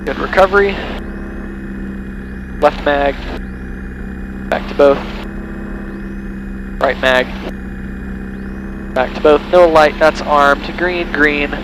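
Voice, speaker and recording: A man's voice: on the high side, slow, pauses often. A male pilot calling out his preflight checks in clipped, matter-of-fact phrases from a small plane cockpit. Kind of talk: running a preflight checklist aloud. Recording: engine noise in cockpit.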